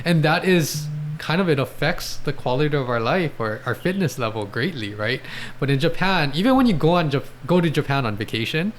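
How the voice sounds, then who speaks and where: A man's voice: a little high, sing-song, brisk, slight background noise. A man in his thirties hosting a podcast, upbeat and eager, chatting casually with many fillers into a desk mic in a small studio. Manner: upbeat, eager, lots of fillers like "you know" and "right". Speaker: podcast host, athletic trainer. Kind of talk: casual interview chat. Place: small home studio, indoors. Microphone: podcast mic on a desk stand.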